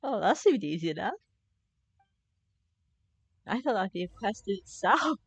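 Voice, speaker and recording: Slow-paced, lively woman streaming a game. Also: mid-range voice, breathy edge. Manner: chatty, informal, muttering reactions to self. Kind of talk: casual live gameplay commentary. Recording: slightly dull stream mic.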